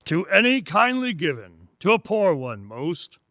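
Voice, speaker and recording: Giant festive spirit, radio drama, booming, declamatory, good-natured authority, raised pitch, dry sound. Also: male voice, big pitch swings, measured pace, a bit bright, no room sound, heavily acted. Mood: hearty, assured.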